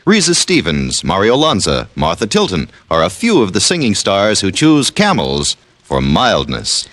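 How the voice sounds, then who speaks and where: A man's voice: on the low side, wildly swooping pitch, normal speed, a bit bright. A man announcing a show and its sponsor in vintage radio style. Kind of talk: 1940s-50s radio announcing.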